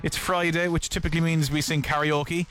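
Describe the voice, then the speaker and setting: A man's voice: fairly high pitch, flat delivery, fairly quick, fairly bright tone, clean tone. A man hosting a breakfast radio show, chatty and jokey as he gives away tickets. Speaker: radio host. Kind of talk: breakfast radio chat and giveaway. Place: radio studio.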